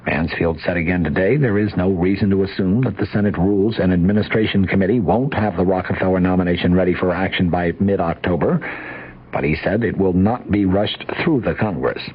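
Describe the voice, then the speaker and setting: A man's voice: low-pitched, fairly quick, husky, highs cut off. An American man reading a scripted news report as a radio newscaster on a vintage broadcast.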